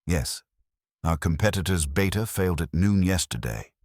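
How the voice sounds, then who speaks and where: A man's voice: sits low, animated, normal speed, darkish tone, no echo, clean studio sound. A man voicing a composed, defiant businesswoman client, precise and firm, in a mystery audiobook.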